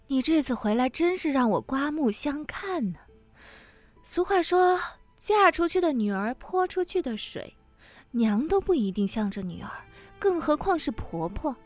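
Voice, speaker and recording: A woman's voice: high voice, very animated, a little slow, faint music behind, full character voice. A woman playing a haughty, spiteful married lady who sneers sarcastically in a Chinese period audio drama. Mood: sneering.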